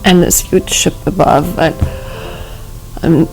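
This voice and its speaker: A woman under hypnosis as a client, answering questions in hesitant, halting fragments as if in a trance. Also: fairly low pitch, steady tone, a little mellow, faint music behind, low-quality recording. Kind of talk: answering questions in a regression session.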